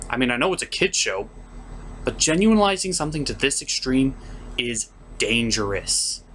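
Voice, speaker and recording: Male review host, highly animated and sarcastic, theatrical swings, some background noise. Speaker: host of a cartoon review show. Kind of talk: comedic review commentary.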